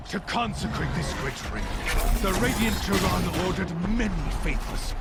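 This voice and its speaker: Fanatical cult leader, booming sermon voice, gravelly, loud orchestral music behind. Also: male voice, full character voice. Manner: booming, preaching oratory to a crowd. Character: fanatical male cult leader, middle-aged, commanding and cruel. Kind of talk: video game cutscene acting.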